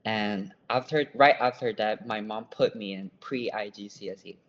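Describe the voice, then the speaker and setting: Casting: young male student guest, Burmese accent, low voice, modest and hesitant, slightly muffled recording. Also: a little slow. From Myanmar. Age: young adult. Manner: self-deprecating, hesitant. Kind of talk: casual podcast interview.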